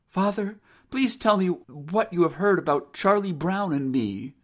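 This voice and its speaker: A man's voice: high-pitched, animated, unhurried, pitched above the performer's own voice. A man voicing an earnest, devout young woman, a dutiful but resolute daughter with formal old-fashioned speech. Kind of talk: dramatized reading of an old sentimental tale.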